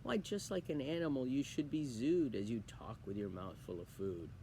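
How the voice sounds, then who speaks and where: A man's voice: mid-range voice, animated, moderate pace, mellow, a little hiss or hum, close to an everyday voice. A man voicing an exasperated father scolding his son in a rhyming storybook poem.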